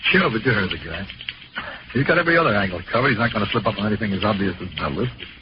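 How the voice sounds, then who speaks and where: An actor playing a weary, dry American police detective in an old radio crime drama, speaking in a deadpan, matter-of-fact way. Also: male voice, on the low side, very fast, noisy background, lightly acted. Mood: matter-of-fact doubt. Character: weary, dry middle-aged police detective, narrating his case. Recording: dull vintage radio recording with music.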